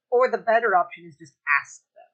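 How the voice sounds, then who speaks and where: A young man with a light, high-pitched voice, chatting casually and joking about himself on a podcast. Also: a bit bright, muffled recording. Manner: chatty and self-mocking, joking and laughing easily. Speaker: streamer.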